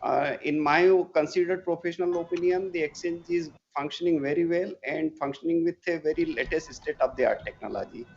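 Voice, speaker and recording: Higher-pitched Indian executive on muffled call. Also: male voice, brisk, vocal fry, few pauses, a little mellow, a little hiss or hum. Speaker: company executive. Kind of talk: earnings call answers. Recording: muffled conference call audio.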